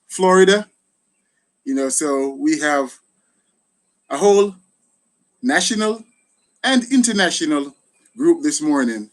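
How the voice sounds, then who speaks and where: A middle-aged man with a Jamaican accent hosting a casual live chat, thanking people often. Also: fairly high pitch. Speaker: gardening channel host, retired soldier.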